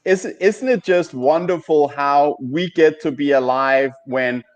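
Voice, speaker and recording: Male host, fairly high voice, halting delivery, casual online panel discussion. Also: muffled recording. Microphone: video call.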